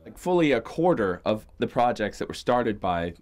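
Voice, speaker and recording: A man's voice: on the low side, sing-song, normal speed, darkish tone. A man talking casually and emphatically in a news interview. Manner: emphatic, informal.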